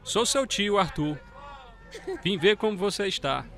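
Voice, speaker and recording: A cold uncle in a radio drama who talks down to people. His voice is gravelly and on the high side for a man, with a curt, blunt delivery. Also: expressive, unhurried, fairly bright tone, exaggerated voice. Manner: curt, dismissive.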